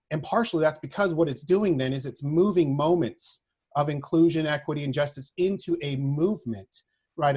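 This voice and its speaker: A man speaking as a social work professor, giving a prepared lecture in an earnest, deliberate manner, often checking in with a short tag question. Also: a little high, darkish tone.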